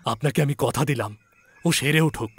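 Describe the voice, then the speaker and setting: A man's voice: mid-range voice, normal speed, a little hiss or hum, pitched above the performer's own voice, lightly acted. A man playing an anxious, loving landlord father, speaking with emotion and earnest promise in a dramatised story. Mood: tearful resolve.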